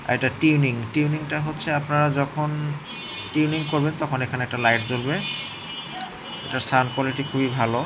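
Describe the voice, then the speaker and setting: Over clear background noise, a man presents a product review. He talks casually and explains things hands-on, pausing to check that viewers are following. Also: medium pitch, little pitch movement. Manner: explanatory, often checks in with listeners.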